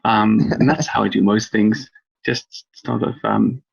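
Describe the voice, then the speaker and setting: A man's voice: lowish voice, fairly even intonation, a little slow, creaky, slightly rough, lo-fi sound. A middle-aged man from Wales, an author answering interview questions in a rambling, enthusiastic way with lots of fillers. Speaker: cryptozoology author.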